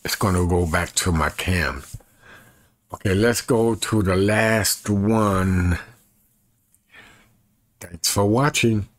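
A man in his fifties with a husky voice, chatting casually to camera in a folksy way and repeating himself as he demonstrates. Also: low-pitched, slow pace, pauses often, no echo, studio quality. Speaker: evangelist and video creator. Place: small bedroom, indoors.

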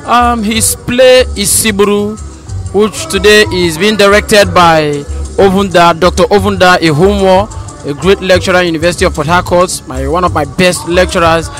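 A man's voice: sits high, fairly even intonation, moderate pace, fairly bright tone. A young Nigerian man giving an earnest, emphatic interview answer into a handheld microphone. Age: young adult. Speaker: audience member being interviewed. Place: large indoor auditorium. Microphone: handheld microphone held close. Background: music.

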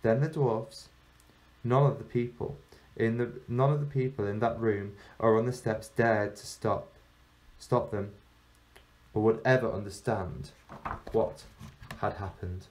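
A man reading a story aloud like a teacher, in measured phrases with deliberate pauses. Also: fairly low pitch, darkish tone, poor audio. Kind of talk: storybook narration.

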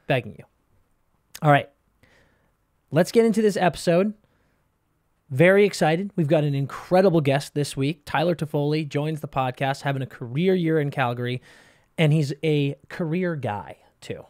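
Man, thirties, podcast co-host, emphatic, hyped, higher voice, dry studio mic. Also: moderate pace, long pauses, a little mellow, dry recording. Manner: hyped, emphatic pitchman delivery. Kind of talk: hockey podcast banter and sponsor read. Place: studio with brick and wood walls. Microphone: boom-arm podcast mic.

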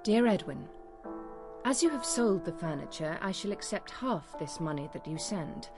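Female actor as estranged English wife, period radio drama; slightly low voice, proud, formal and cool. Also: lively intonation, moderate pace, over music, natural voice.

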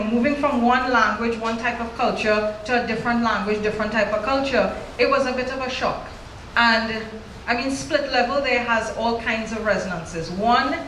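A woman's voice: a little high, fairly bright tone. A middle-aged woman from Trinidad with a Trinidadian accent, an author speaking candidly into a handheld mic before a large audience, often ending lines with "right?". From Trinidad and Tobago. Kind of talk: live onstage conversation. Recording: roomy hall with faint noise.

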